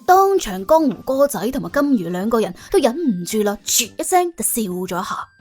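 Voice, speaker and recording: A woman with a fairly high voice narrates in colloquial Cantonese. Her storytelling is animated and wry, swinging widely in pitch, with faint music behind her. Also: brisk, slightly rough. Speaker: audiobook narrator. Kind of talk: colloquial, expressive scripted storytelling.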